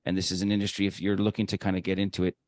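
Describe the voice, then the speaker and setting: A man's voice: deep voice, completely flat delivery, rapid-fire, darkish tone, no room sound. A man co-hosting a conversational interview podcast, talkative and emphatic, with promotional asides. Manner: talkative, emphatic, self-described showman. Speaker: podcast co-host.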